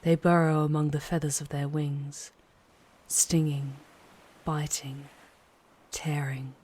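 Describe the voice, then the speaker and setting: A woman playing a charming, poised female demon guide in an intimate ASMR roleplay, speaking in a measured way with breathy, hushed touches. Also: sits low, level tone, heavily acted. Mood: eerie, intimate. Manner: measured, deliberate, often dropping into breathy whispers.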